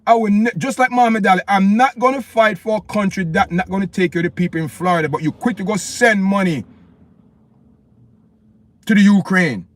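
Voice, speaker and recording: A man's voice: high-pitched, fairly quick. Impassioned Jamaican man ranting on a livestream. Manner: impassioned, repetitive, preachy, addresses viewers as "people". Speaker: livestream host. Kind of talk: opinionated live-stream rant.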